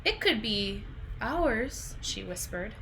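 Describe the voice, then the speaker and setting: A woman's voice: a little high, very animated, slow, fairly bright tone. A woman in her thirties, a teacher talking simply to young children as she reads a storybook aloud at home. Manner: questioning, explaining simply to children. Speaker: teacher reading a picture book aloud. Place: indoors in a home room. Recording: clear background noise, home video call.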